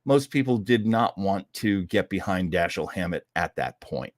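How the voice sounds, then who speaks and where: Chatty man with very animated pitch. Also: moderate pace, no echo, studio quality. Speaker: host of an old-time radio show program. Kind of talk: casual commentary on classic radio history.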